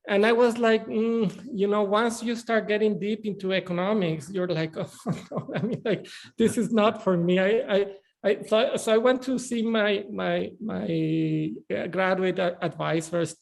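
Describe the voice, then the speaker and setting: A man's voice: sits high, creaky, a little mellow. A middle-aged man from northern Mexico, speaking English as a guest on a video-call interview, often restarting and repeating words. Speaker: bank CEO, guest.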